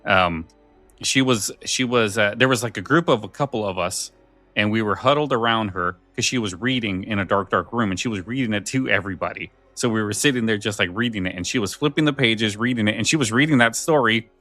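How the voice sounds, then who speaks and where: A man's voice: fairly low pitch, fairly quick, sharp tone, no echo, faint music behind. A man hosting a podcast in casual chat, often backtracking and repeating phrases as he goes. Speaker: podcast host.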